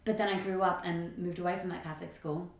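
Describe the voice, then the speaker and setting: A woman hosting a casual panel discussion, chatty and informal as she tells stories in an animated way. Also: lowish voice, fast. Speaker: podcast host. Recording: echoey room.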